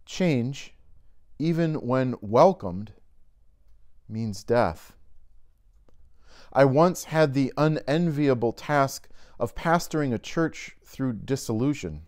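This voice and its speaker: A middle-aged man, a pastor, reading scripture and a devotional slowly and carefully, indoors by a fireplace. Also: mid-range voice, lively intonation, a little mellow. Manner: slow, measured reading aloud from a binder. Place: indoors in a home-style room by a fireplace.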